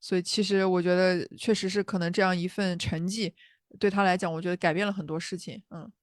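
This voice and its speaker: A man's voice: high voice, little pitch movement, fast, no room sound, clean studio sound. A male co-host adding remarks and short agreeing sounds. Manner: frequent short backchannels. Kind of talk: casual interview chat.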